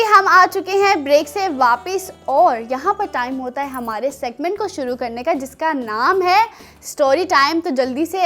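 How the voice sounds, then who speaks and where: High-voiced woman hosting a children's show. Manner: teasing, animated, talking to children. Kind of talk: chatty children's show presenting and storytelling.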